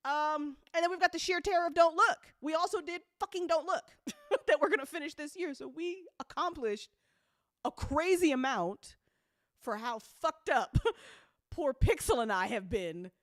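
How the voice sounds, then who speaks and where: A woman's voice: high voice, moderate pace, a bit bright, no echo, studio quality. A woman hosting a casual, chatty recap for her community, effusive and freely sweary, often repeating phrases for emphasis. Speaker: host, audio drama creator.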